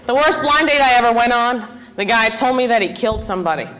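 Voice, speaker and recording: A young woman in her twenties performing a self-deprecating stand-up comedy set on a club stage, with deadpan punchlines and chatty asides to the crowd. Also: fairly high pitch, fast, rough recording. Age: 20s.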